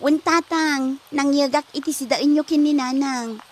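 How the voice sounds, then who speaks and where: A woman's voice: high voice, a little slow. A woman playing an innocent, inquisitive young daughter in a comedy radio drama. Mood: innocent, curious.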